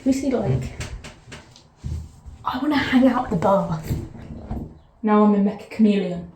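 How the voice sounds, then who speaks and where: A woman's voice: medium pitch, unhurried, warm tone, a little hiss or hum. A woman with a southern English accent, chatting casually to camera from a bathtub, rambling through asides and cutting herself off mid-thought. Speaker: vlogger. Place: sitting in a bath, bathroom. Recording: echoey bathroom.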